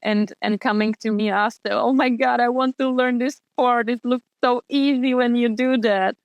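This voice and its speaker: Smooth-voiced young woman, hesitant speech. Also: on the high side, fairly even intonation, normal speed, no room sound, dull audio. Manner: hesitant, many restarts and fillers. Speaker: guest, wing foil and kiteboard athlete. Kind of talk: casual interview answers.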